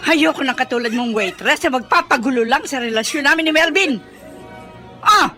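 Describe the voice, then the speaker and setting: A woman's voice: sits high, expressive, moderate pace, bright, forceful tone, faint music behind. A woman playing a jealous, cruel older female boss in a melodramatic radio drama, scolding haughtily with a Filipino accent. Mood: furious, domineering.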